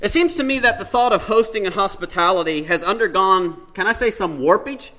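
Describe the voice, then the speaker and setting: A man's voice: high voice, animated, fairly quick, pushed and bright. A man preaching an informal Bible teaching sermon in a church, conversational and hesitant, thinking aloud with many fillers.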